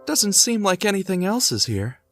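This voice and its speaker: A man playing a devoted young husband and father in an audio drama, gentle, curious and theatrical. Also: high-pitched, big pitch swings, normal speed, no room sound, soft background music, full character voice. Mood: curious, excited. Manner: reassuring, theatrical, thinks aloud to himself. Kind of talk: hobby audio drama acting.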